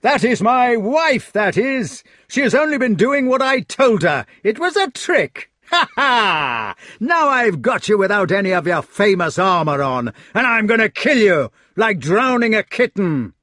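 A man voicing a fat, blustering male knight who shouts slowly and heavily in furious accusation. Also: a little high, wildly swooping pitch, no room sound, clean studio sound, voice pushed up, exaggerated voice. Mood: furious shouting. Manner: shouting, slow, heavy.

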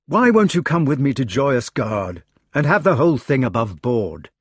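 A male narrator plays an ardent storybook knight, no longer young, pleading with his lover. The delivery is impassioned and swings widely in pitch, with a husky edge to the voice. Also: lively intonation, unhurried, no room sound, clean studio sound. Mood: desperate, pleading. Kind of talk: audiobook character dialogue.

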